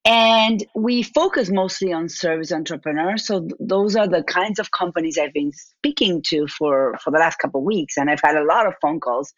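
Low-voiced woman with an Argentine accent. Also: normal speed, few pauses, dry recording, dull audio. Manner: talks in restarts and repeats, thinking aloud. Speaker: business owner, guest. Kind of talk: interview answers.

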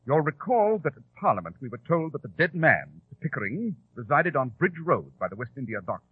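An actor playing a shrewd, sardonic English gentleman detective with precise, lofty diction in a 1940s radio drama. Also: fairly high pitch, sing-song, brisk, slightly rough, no echo, full character voice. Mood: purposeful. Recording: dull, muffled old radio recording.

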